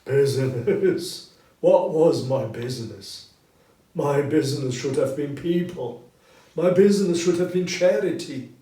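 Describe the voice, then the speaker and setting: A man's voice: a little high, expressive, warm tone, voice pushed up. A man voicing the anguished, regretful ghost of a dead businessman in a storybook reading, with solemn, emphatic delivery. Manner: slow, solemn, emphatic declamation. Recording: roomy echo, distant mic, background noise.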